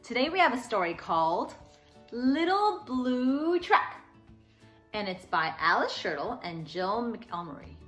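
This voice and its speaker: A woman hosting a children's story time, reading a picture book aloud in an expressive, sing-song way. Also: fairly high pitch, unhurried, soft background music.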